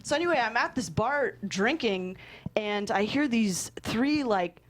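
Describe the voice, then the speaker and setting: A woman's voice: a little high, a little slow. A woman guest telling stories casually and comedically, swearing and acting out what people said. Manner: animated quoting, sweary, laughs often, says "like" a lot. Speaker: guest screenwriter and filmmaker. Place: podcast studio.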